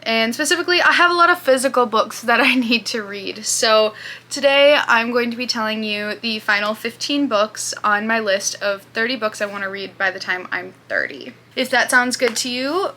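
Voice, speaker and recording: A woman's voice: on the high side, bright, forceful tone. A woman, hosting her reading channel in casual, chatty talk with lots of fillers. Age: 20s. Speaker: booktube host. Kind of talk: casual chat.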